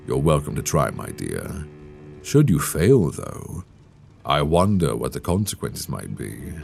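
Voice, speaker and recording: A man's voice: darkish tone, exaggerated voice. A man playing a sadistic, seductive, mocking vampire lord in an intimate roleplay audio drama, silky and taunting in a theatrical, menacing way, close on a binaural mic. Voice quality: gravelly, very low. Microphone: close binaural microphone.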